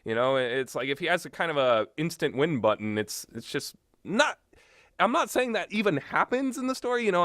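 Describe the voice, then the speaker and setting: A man's voice: on the high side, fairly bright tone, no echo, studio quality. A man giving casual, rambling commentary with strong opinions and an emphatic way of talking. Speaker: video host.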